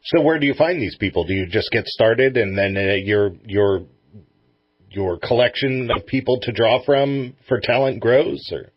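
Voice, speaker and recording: A man's voice: mid-range voice, normal speed, husky, no echo, highs cut off. A middle-aged man hosting a radio show, chatting casually as he interviews a guest.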